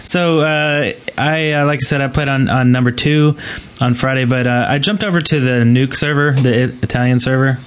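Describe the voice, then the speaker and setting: A man's voice: mid-range voice, steady tone, normal speed, hardly any pauses. A man co-hosting a podcast in a casual conversation about online gaming. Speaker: podcast co-host.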